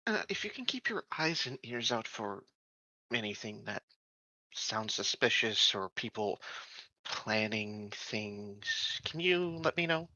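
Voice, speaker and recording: A man's voice: fairly low pitch, very animated, slow, bright, forceful tone, no echo, heavily acted. A man voicing an earnest, secretive non-human colony security officer in a tabletop game, polite and hesitant, on a dull, dry recording.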